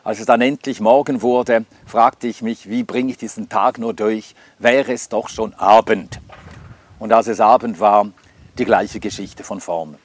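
A man's voice: lowish voice, steady tone, unhurried, no room sound. Older man telling his story. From Scandinavia. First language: Swedish. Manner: reflective, hesitant with frequent fillers. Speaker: testimony speaker. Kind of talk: personal life testimony.

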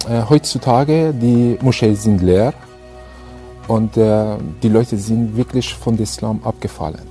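A middle-aged man with an Iranian accent gives a personal testimony in halting German, full of pauses and fillers. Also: medium pitch, mellow. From Iran. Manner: halting, many fillers and restarts. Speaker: interviewee.

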